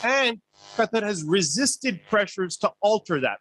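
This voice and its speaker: A man's voice: high-pitched, big pitch swings, unhurried, a bit bright. A man speaking as an academic on a panel, earnest and lecturing, firing off rhetorical questions. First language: not Urdu. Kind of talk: panel discussion remarks.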